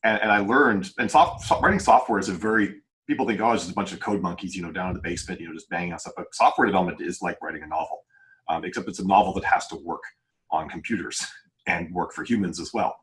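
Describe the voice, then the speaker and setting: A man with a deep voice talks very quickly in a casual panel discussion. He thinks aloud and explains things in a rambling way. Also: a bit bright, rough recording.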